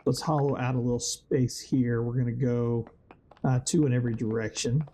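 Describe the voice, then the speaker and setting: Let's-play host, man, a bit hoarse, dark mellow tone, meandering and filler-heavy, easygoing commentary. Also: medium pitch, steady tone, normal speed, slight background noise. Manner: rambling, thinks aloud with many fillers. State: slightly hoarse, voice worn from weather change. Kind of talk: casual gameplay commentary.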